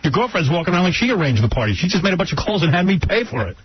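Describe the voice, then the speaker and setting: Man, radio host, emphatic banter, lively swooping pitch, dull noisy recording. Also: racing, slightly rough. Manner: argumentative, emphatic, repeats phrases for effect. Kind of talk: heated unscripted radio banter.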